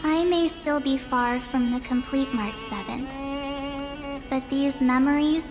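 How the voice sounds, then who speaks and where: A woman's voice: sits high, unhurried, over music. A woman voicing an upbeat, earnest, cheeky young girl in a video game, perky and emphatic. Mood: cheeky, confident.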